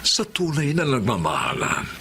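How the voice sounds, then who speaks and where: A man's voice: medium pitch, huge pitch swings, moderate pace, creaky, sharp tone, slight background noise, heavily acted. An actor playing a reassuring male friend in a Filipino radio drama, emphatic, with a Filipino accent.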